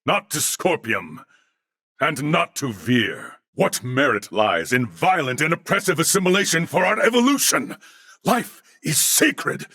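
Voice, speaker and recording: An actor voicing a calm, courteous cybernetic alien in a video game, with a deep, gravelly voice and formal, measured, precise diction. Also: male voice, no room sound, clean studio sound, heavily acted. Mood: impassioned, pleading. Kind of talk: dramatic video game voice acting.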